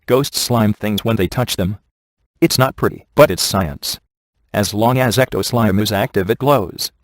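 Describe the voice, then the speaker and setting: A male actor plays a sarcastic, laid-back ghost hunter from a video game. His voice sits low and is recorded close and dry. He talks in glib wisecracks with a sardonic edge. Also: measured pace, no room sound, natural voice. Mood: wry instruction. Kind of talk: scripted video game character dialogue.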